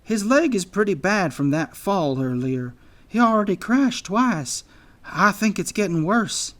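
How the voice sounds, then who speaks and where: An anxious anteater from a fantasy storybook, acted out by a man reader. His voice sits high and frets along hesitantly, always worried for his friend. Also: expressive, unhurried, a bit bright. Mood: worried, sheepish. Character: nervous, fretting male anteater friend in children's fantasy.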